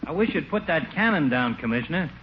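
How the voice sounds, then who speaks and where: An actor playing a slangy, wisecracking poker buddy in a 1940s radio comedy, breezy and wry. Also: male voice, on the high side, lively intonation, quick pace, lightly acted. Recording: noisy, muffled 1940s radio recording.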